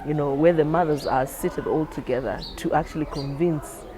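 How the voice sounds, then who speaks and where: A woman's voice: low voice, moderate pace, crowd noise. A woman clinician speaking conversationally as she explains things for a training film.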